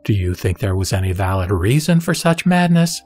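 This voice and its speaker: A man gives a scripted, dramatic reading of scripture in a measured, solemn, instructive manner. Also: lowish voice, big pitch swings, darkish tone. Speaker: narrator and reader of scripture. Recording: background music behind the voice.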